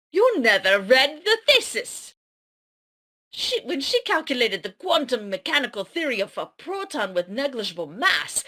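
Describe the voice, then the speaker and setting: A woman playing an earnest young female scientist in an amateur anime-style drama read, with deliberate, dramatic delivery. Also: on the high side, sharp tone, full character voice.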